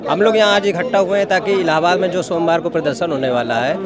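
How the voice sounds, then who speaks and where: A man's voice: high voice, quick pace. A man at a student protest, explaining the demands in a firm, speech-like way. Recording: loud crowd outdoors.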